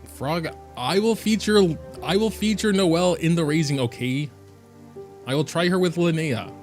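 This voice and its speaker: A man's voice: fairly high pitch, moderate pace, faint music behind. A man from Germany with a German accent, a native German speaker, rambling and thinking aloud in casual live-stream commentary while he plays. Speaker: game streamer.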